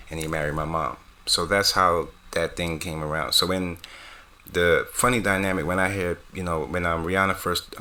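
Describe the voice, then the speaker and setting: Low-voiced man, relaxed vlog host. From United States. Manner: relaxed rambling with fillers. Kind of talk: casual talk to camera. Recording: music playing underneath.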